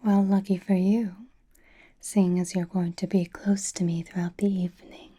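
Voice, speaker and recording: A woman voicing a flirtatious, teasing, possessive vampire in a soft ASMR roleplay, whispering breathily close to the microphone. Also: fairly low pitch, flat delivery, slightly rough. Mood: guarded, coaxing. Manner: slow, soothing, coy teasing.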